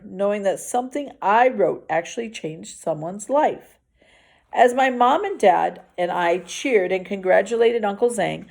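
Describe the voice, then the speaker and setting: A woman's voice: mid-range voice, vocal fry, darkish tone. A woman reading a children's novel aloud expressively, as a teacher does for a class.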